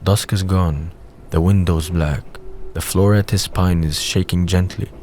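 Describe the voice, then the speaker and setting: A man's voice: low voice, steady tone, unhurried, a little mellow. A man reading scripted scene narration for an audio drama. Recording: faint background noise.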